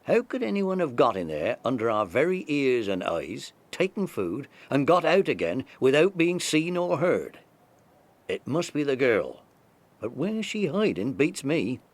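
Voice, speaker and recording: Man as an animated, baffled police sergeant. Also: medium pitch, a little slow. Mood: puzzled. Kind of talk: children's audiobook dramatization.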